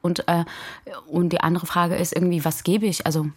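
A woman's voice: fairly low pitch, flat delivery, fairly quick. A woman talking reflectively as an activist in an interview, emphatic and often seeking agreement with short tag questions. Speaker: migrant activist.

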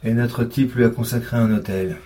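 An actor playing an exasperated, sarcastic police investigator in a naturalistic audio drama. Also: male voice, medium pitch, steady tone, normal speed, warm tone, close to an everyday voice. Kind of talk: naturalistic French audio fiction acting. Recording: distant from mic, faint noise.

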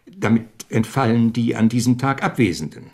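A man plays a middle-aged company executive in a radio play. His voice is husky and medium in pitch. He is formal and businesslike, pressing his points with insistence. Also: normal speed, a little mellow, close to an everyday voice. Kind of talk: radio drama dialogue.

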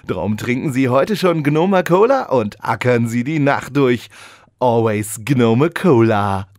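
A man playing a slick pitchman in a parody radio commercial for an energy drink. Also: expressive, slow, a little mellow, no room sound, clean studio sound. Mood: upbeat, selling.

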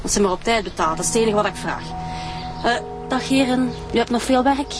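A brash, foul-mouthed Flemish woman with a high, swinging voice, as an actress plays her in a radio drama. She talks with open scorn, music behind her. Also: animated, brisk, lightly acted. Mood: scornful. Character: rude, foul-mouthed woman shouting at police.

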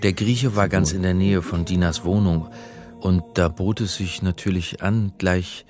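An actor playing a religious studies lecturer turned uneasy amateur sleuth, narrating a radio crime drama in a reflective way. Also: male voice, low voice, fairly even intonation, a little slow, over music. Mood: wry, narrating.

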